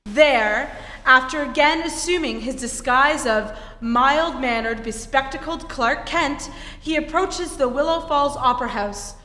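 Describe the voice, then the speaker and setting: Bright high-voiced woman narrator in echoey hall. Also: unhurried. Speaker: narrator of a radio play. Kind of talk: dramatic scripted narration, 1940s radio style. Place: theatre stage before an audience. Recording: echoey hall, live stage performance.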